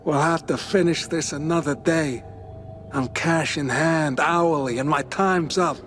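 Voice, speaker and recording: An actor playing a smooth, businesslike hitman in a noir game, polite, breezy and mocking. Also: fairly high pitch, measured pace, a bit bright, soft background music. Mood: breezy, dismissive.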